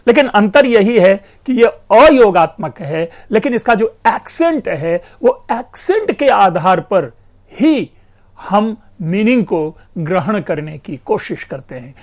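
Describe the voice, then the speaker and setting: High-voiced man giving a language lecture. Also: animated, slow pace, darkish tone. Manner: explanatory, addresses listeners as friends, stresses words for examples. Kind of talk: educational lecture.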